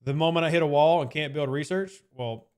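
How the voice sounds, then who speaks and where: A man's voice: a little high, a little fast, a little mellow, clean tone. A man streaming live and answering chat questions in a casual, rambling way, often hedging with "I mean" and repeating his points.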